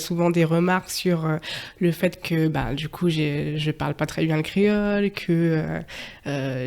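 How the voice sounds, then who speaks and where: A woman's voice: lowish voice, a little mellow. A young woman from France who grew up partly in Martinique, talking as a podcast guest in a hesitant, casual way, with many fillers and frequent laughs. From France, raised partly in Martinique. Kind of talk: casual interview testimony.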